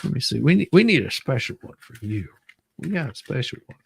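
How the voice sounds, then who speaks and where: A man's voice: medium pitch, sing-song, a little fast, gravelly, husky. A man hosting a casual livestream with dramatic reading, joking around and repeating his phrases playfully. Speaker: livestream host reading a deposition.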